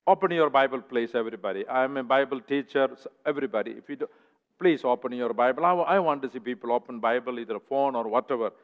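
A man from southern India with a South Indian accent, preaching a sermon as a guest in a church in an earnest, halting, conversational way. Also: medium pitch. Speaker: guest preacher, ministry president. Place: church sanctuary. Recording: echoey church hall, dull lo-fi sound.

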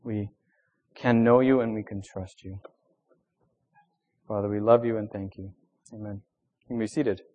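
A man's voice: on the low side, steady tone, a little slow, long pauses, warm tone. A young man who grew up in Venezuela, preaching a sermon in a conversational way with ums and uhs, reading scripture with extra emphasis. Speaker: pastor.

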